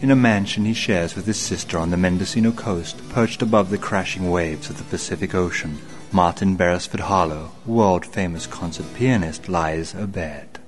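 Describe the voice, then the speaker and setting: Sinister gentleman host, played by an actor for radio horror. Very low voice, theatrical and ominous, faint music underneath. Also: natural voice. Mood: solemn storytelling. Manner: theatrical, ominous, teasing, deliberate. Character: sinister, genteel male horror-show host. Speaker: host and narrator. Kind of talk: old-time radio horror narration.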